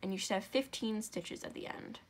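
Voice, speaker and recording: A woman's voice: medium pitch, fairly quick. A young woman with a stuffy, congested nose, chatting her way through a step-by-step crafting tutorial at home, with rambling asides and self-corrections.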